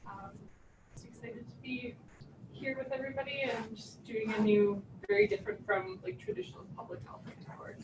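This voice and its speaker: A young female student introduces herself casually in a higher voice. She sounds echoey and far from the mic, with clear noise. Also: animated, brisk. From Ontario, Canada. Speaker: graduate student. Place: classroom. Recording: echoey, noisy room, distant mic.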